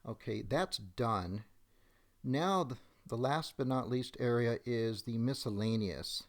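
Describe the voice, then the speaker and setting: A middle-aged man narrating a software demonstration step by step, methodically thinking aloud as he goes. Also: mid-range voice, slow pace.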